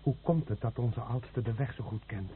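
A man's voice: medium pitch, moderate pace, close to an everyday voice. An actor playing an anxious, pleading and fatalistic fisherman, worn out, in a radio play. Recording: muffled, noisy old radio play recording.

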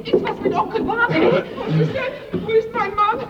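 An actress playing a panicked, tearful, distraught wife in a German radio crime drama. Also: female voice, very high voice, moderate pace, over loud music, a loud crowd. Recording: echoing, distant, dull, very noisy recording.

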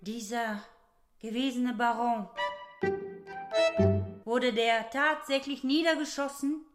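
Female radio drama narrator, high-pitched, very unhurried, loud violin music behind. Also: fairly even intonation.

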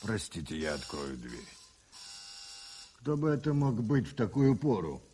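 An actor playing a worldly, self-mocking adventurer in a radio play, theatrical and teasing, with a husky voice. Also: male voice, lowish voice, big pitch swings, a little slow, dark tone, a little hiss or hum. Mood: polite, concerned. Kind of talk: 1980s radio play acting.

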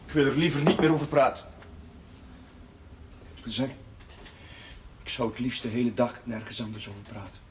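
An actor playing a troubled, idealistic young village priest in a television period drama, speaking Dutch in an earnest, brooding way. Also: male voice, medium pitch, moderate pace, pauses often, close to an everyday voice. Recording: noisy old television soundtrack.

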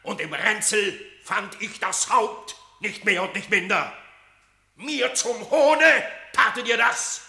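A stern, accusing king of animals in a radio drama. His declamatory voice is very sharp and rather high, ringing in a roomy, echoing space. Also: slow, vocal fry, full character voice. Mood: wrathful accusation. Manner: commanding, declamatory. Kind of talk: classical verse radio drama. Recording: roomy, echoing studio sound.

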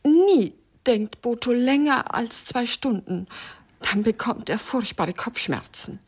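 An actress playing a poet's aggrieved wife in a satirical radio crime drama, speaking in a stilted, solemn, declamatory way. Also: female voice, fairly high pitch, expressive, slow pace, husky, heavily acted. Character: stilted, aggrieved wife of a poet, pedantic, theatrical.

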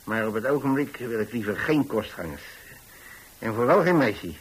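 A man's voice: medium pitch, animated, normal speed, husky, rough recording. An actor playing an older, plain-spoken pensioner in a radio drama, telling his story in a hesitant, repetitive, folksy way. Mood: hesitant, polite. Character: rambling, plain-spoken old pensioner who takes in lodgers. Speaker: narrator of a radio play.

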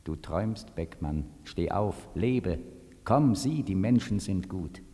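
A slow, deep, hoarse voice of hope. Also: male voice, animated, dark tone, heavily acted. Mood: soothing, urging. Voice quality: hoarse, husky. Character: calm, gentle, insistent inner voice urging hope and life. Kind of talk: 1940s radio play acting. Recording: vintage 1940s radio recording.

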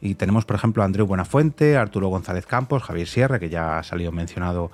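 A man's voice: low voice, animated, fast talker, a little mellow. A man with a Castilian Spanish accent hosting a conversational interview, informal and reflective, repeating phrases for emphasis. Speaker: podcast host, interviewer.

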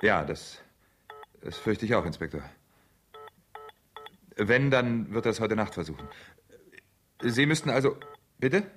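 An actor playing a soothing, slightly hesitant husband in a German radio crime thriller, speaking in a measured way. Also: male voice, on the low side, very animated, a bit bright, slight background noise. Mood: urgent, businesslike. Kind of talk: German radio drama acting.

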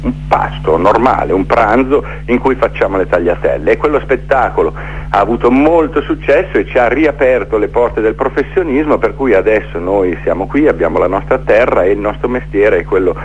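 A man's voice: lowish voice, lively intonation, normal speed, lo-fi sound, slight background noise. A middle-aged man telling his story conversationally in a radio interview, reflective, building up lists with repeated phrases. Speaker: theatre maker being interviewed.

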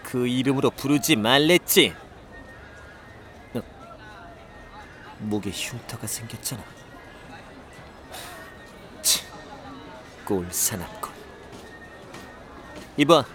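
Young genius, arrogant, curt, irritable, medium pitch, audio drama, background music. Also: male voice, expressive, slow, long pauses. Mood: irritated. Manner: haughty, curt, scoffing. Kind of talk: Korean audio drama acting.

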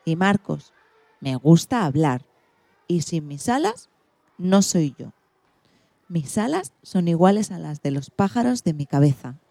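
A woman's voice: on the low side, very animated, unhurried, vocal fry, long pauses, mellow, a little hiss or hum, full character voice. A woman playing an earnest, dreamy schoolgirl in a radio play of a children's storybook. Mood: self-assured.

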